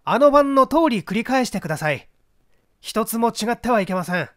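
A man's voice: high voice, expressive, fairly quick, fairly bright tone, no room sound, clean studio sound, pitched above the performer's own voice. A man voicing a confident police detective giving precise, polite, emphatic instructions in an audiobook mystery. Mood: assured. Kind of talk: literary audiobook character dialogue.

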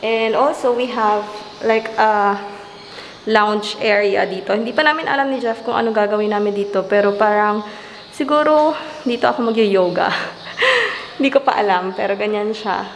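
A woman's voice: medium pitch, moderate pace. A young adult Filipino woman with a Filipino accent giving a casual house tour, slightly muffled by a face mask. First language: Tagalog. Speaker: vlogger. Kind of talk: casual house tour chat, switching between two languages. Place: inside an empty house, tiled rooms. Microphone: handheld camera at arm's length. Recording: echoey empty rooms with background noise.

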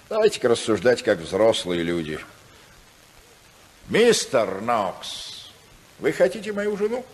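An actor playing a cynical, scheming senator husband with a sardonic, theatrical, mock-polite manner in a 1950s Soviet radio drama. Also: male voice, mid-range voice, slight background noise. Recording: 1950s radio recording, long hall reverb.

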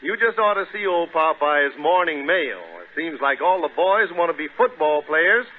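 A man's voice: on the high side, moderate pace, a little hiss or hum. A man announcing a 1930s radio show in a folksy, enthusiastic sales style. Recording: tinny 1930s radio recording, music behind.

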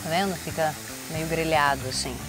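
A woman's voice: sits low, normal speed, loud music behind the voice, low-quality recording. A woman in her thirties with a Brazilian accent, casually explaining a recipe step by step in an informal, chatty way. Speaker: cooking show host. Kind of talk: casual cooking demonstration. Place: open-sided thatched outdoor kitchen. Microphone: lapel.

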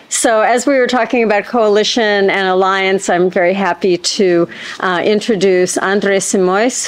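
Woman moderator introducing a panelist. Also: mid-range voice. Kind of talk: introducing a speaker. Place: conference room with panel table.

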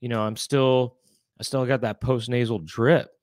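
A congested man in his thirties rambles in a loose, playful way, co-hosting a casual home show. His voice sits at a middle pitch. It is recorded close on a studio mic in a dry small room. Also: steady tone, moderate pace, darkish tone, no echo. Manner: rambling, playful comic riffing. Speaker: co-host. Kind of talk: casual chat. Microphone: studio mic with headphones.

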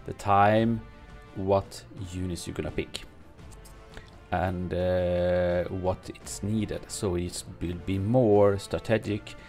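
A man who is not a native English speaker, reading game patch notes aloud and commenting on them from his home streaming room, halting with many fillers and restarts. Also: low-pitched, fairly even intonation, a little mellow, faint music behind. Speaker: gaming streamer.

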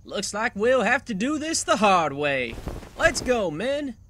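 A man's voice: sits high, big pitch swings, measured pace, sharp tone, a little hiss or hum, heavily acted. A man voicing a pompous, easily flustered Old West marshal in a theatrical fan comic dub. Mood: resigned. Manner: grandiose, theatrical.

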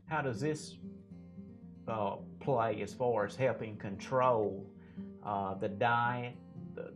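A middle-aged man hosts a conversational interview, putting questions in a voice of average pitch. Guitar music is clearly heard behind him. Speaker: interviewer, company representative. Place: indoor video set at a display table. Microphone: clip-on lapel mic. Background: acoustic guitar music.

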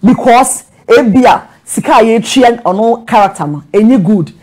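A woman's voice: fairly high pitch, animated, unhurried, a little mellow. A woman with a Ghanaian accent, a guest in a TV studio interview, who switches between Twi and English with confidence. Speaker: guest, actress and show creator. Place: TV studio set.

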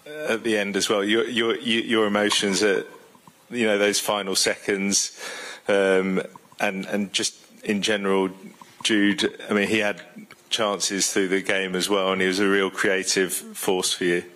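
A male journalist asking a halting, word-repeating question at a press conference. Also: on the low side, flat delivery, fairly bright tone, low-quality recording.

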